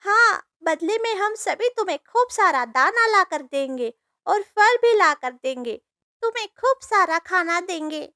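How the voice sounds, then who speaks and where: A woman's voice: extremely high pitch, animated, a little slow, sharp tone, no echo, studio quality, voice pushed up, full character voice. A woman voicing an alarmist, scheming forest bird in a cartoon story, urgent, exclamatory and persuasive in delivery. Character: squeaky, alarmist forest birds, scheming and pleading. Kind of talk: Hindi cartoon story voice acting.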